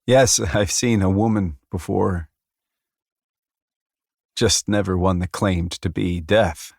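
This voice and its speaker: A man playing a shaken, sceptical young king in a fantasy audiobook, halting and somewhat hoarse. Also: sits low, steady tone, no room sound, studio quality, exaggerated voice. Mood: bewildered. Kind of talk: fantasy romance audiobook character.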